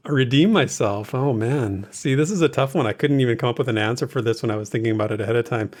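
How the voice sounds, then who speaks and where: A man's voice: mid-range voice, fast talker. A man co-hosting a casual gaming discussion in an easygoing, informal way. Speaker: podcast co-host.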